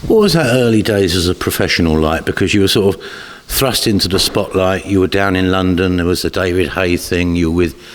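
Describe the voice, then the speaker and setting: A man's voice: sits low, brisk, few pauses. A man interviewing a boxer, asking long multi-part leading questions. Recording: long reverb.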